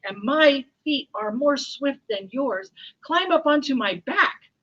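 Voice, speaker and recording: A woman's voice: high voice, takes it slowly, fairly bright tone, lo-fi sound, voice pushed up, full character voice. A woman voicing a proud, mighty male lion in a children's story, roaring and declaiming bombastically. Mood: grateful.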